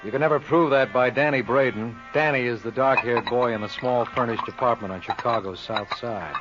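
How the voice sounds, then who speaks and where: An American actor playing a breezy, self-assured lawyer who narrates a 1950s radio mystery in a wry manner. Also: male voice, mid-range voice, fairly quick, lightly acted. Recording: dull old radio recording. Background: music.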